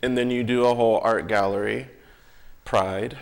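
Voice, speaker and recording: A man's voice: mid-range voice, steady tone, normal speed, creaky. A man interviewing someone in a casual, conversational way, often chiming in with affirmations and repeating his words.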